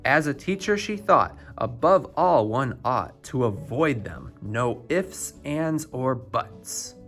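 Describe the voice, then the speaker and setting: A man reading a rhyming children's storybook aloud in an expressive, theatrical storytelling style. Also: mid-range voice, slow pace, soft background music. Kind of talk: scripted rhyming picture-book narration.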